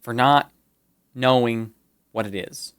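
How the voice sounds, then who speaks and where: A man with a medium-pitched voice co-hosts a casual stream. He jokes and banters informally, and the recording is close and dry. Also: takes it slowly, clean tone, dry recording. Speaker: streamer and co-host. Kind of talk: casual, joking livestream chat.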